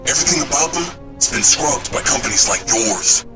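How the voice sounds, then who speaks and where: An actor playing a brooding, defiant vigilante hero in a video game, speaking tersely and stubbornly. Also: male voice, medium pitch, lively intonation, brisk, music in the background, lightly acted. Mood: guarded, bitter. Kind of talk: dramatic game acting.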